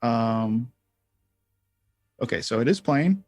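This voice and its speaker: A man hosting a casual live software tutorial, thinking aloud in a halting way as he explains things step by step. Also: mid-range voice, lively intonation, no echo. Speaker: livestream host and software instructor.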